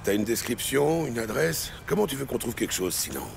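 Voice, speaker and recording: Gravelly, domineering police lieutenant. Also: male voice, mid-range voice, animated, fairly quick. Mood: wary, brusque. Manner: streetwise, slangy, bossy. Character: gruff, domineering corrupt New York police lieutenant. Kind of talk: audio drama dialogue. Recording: faint city street and vehicle noise.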